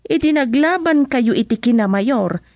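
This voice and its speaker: An actress playing a cheeky, gossipy servant woman in a radio comedy-drama, with drawn-out exclamations and an Ilocano accent. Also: fairly high pitch, animated, a little mellow, dry recording, clean studio sound.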